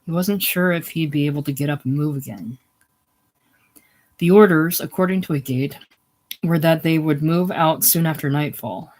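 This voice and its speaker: A man's voice: a little high, moderate pace. A man reading literary war fiction aloud as an audiobook narrator, now and then stumbling and rereading a phrase. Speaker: audiobook reader.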